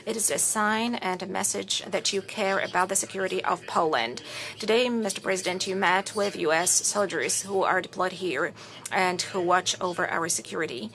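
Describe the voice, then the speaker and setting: A woman giving a formal simultaneous interpretation into English, measured and full of courtesy phrases. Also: medium pitch, fairly bright tone.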